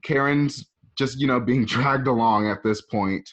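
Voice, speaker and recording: A man's voice: mid-range voice, measured pace, fairly bright tone, highs cut off. A man narrating scene directions informally, with casual conversational asides. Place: at home.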